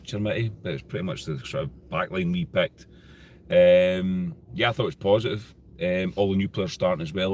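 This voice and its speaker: A Scottish man co-hosting a casual football podcast, relaxed and plainspoken with hesitant ums. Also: deep voice, slight background noise. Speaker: podcast co-host.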